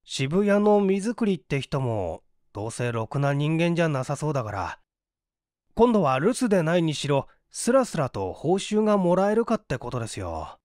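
A man's voice: a little high, very animated, moderate pace, darkish tone, dry recording, clean studio sound. A man voicing a broke, hungry fellow in a storybook reading, coaxing and wry in half-polite talk. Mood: scheming, matter-of-fact.

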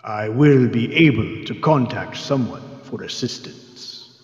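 A man playing a grave, dry-humoured dragon in an audio drama, measured and formal. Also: medium pitch, faint music behind, lightly acted. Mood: calm, dry. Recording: echoey cave-like reverb, slightly dull.

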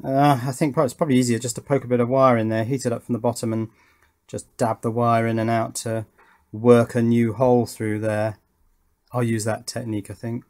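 A man's voice: medium pitch, level tone, fairly quick, a little mellow. Man casually talking through hands-on work. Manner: thinking aloud while working, with tag questions. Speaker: host of an electronics build channel. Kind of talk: casual hands-on demonstration commentary. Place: indoors at a wooden workbench.

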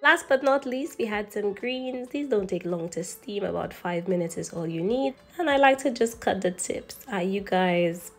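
A woman's voice: mid-range voice, expressive, moderate pace, hardly any pauses, soft background music. Chatty woman narrating a cooking video. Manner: chatty, addresses viewers directly. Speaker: cooking channel host.

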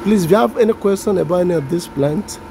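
A man with a Nigerian accent, a native Yoruba speaker, casually showing backyard weeds outdoors, unhurried and sounding out plant names with a self-deprecating tone. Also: high voice, gravelly. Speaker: vlogger. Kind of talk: casual walk-through narration. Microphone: handheld phone camera, off-screen. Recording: generator noise behind phone audio. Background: generator running.

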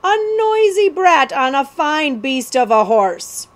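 Woman putting on a big, rough, booming highwayman. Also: a little slow, darkish tone, close to an everyday voice. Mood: mocking surprise. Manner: rustic ungrammatical outlaw talk.

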